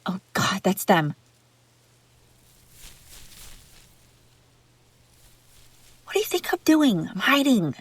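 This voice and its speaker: A woman's voice: medium pitch, very animated, moderate pace, breathy edge, no room sound. A woman playing a haughty, bratty young runaway princess in a roleplay audio drama, sarcastic and bickering with the listener. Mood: panicked, exasperated.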